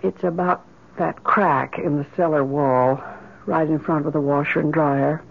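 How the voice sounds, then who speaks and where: A woman's voice: low voice, fairly even intonation, a little slow, creaky, full character voice. An actress playing a grief-stricken, inconsolable middle-aged mother in an impassioned, theatrical old-time radio drama style. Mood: insistent. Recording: muffled vintage radio broadcast.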